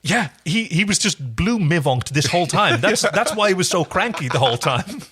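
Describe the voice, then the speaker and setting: A man's voice: high-pitched, sing-song, normal speed, pushed and bright, husky. A man co-hosting a podcast, chatting casually through a TV episode recap with wry, jokey commentary. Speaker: podcast co-host.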